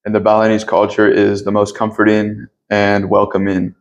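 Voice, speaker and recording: A man with a deep voice speaking earnestly as an interview guest about spiritual matters, often pausing to search for words. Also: completely flat delivery, lo-fi sound. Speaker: interview guest, yoga teacher and Reiki practitioner. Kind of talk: reflective spiritual conversation.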